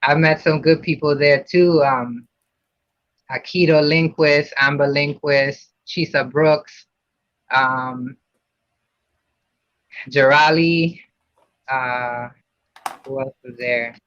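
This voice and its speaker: A man's voice: fairly high pitch, fairly even intonation, slow, long pauses, fairly bright tone, no echo. A man from Puerto Rico, a podcast guest talking casually about his childhood, with many fillers and restarts. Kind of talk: casual interview. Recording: dull lo-fi sound.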